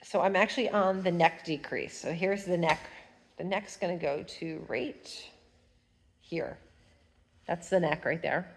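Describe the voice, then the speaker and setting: Low-voiced stuffy woman chatting about knitting. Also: a little slow, a little hiss or hum. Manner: chatty, rambling, hums while working. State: stuffy nose. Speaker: fiber arts vlogger. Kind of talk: casual knitting tutorial chat. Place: at home.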